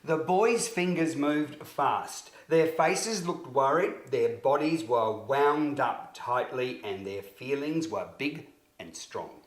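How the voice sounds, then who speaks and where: A man reads a children's story in a medium-pitched voice, taking it very slowly. His delivery is expressive and deliberate, with emphasis meant for young listeners. The recording is roomy and echoing. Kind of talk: scripted children's story narration.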